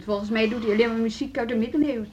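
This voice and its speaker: An actress playing a weary, frustrated music teacher in an understated, naturalistic radio drama. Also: female voice, on the high side, fairly even intonation, very fast, darkish tone, slight background noise, close to an everyday voice. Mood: concerned. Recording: dull radio drama recording, music behind.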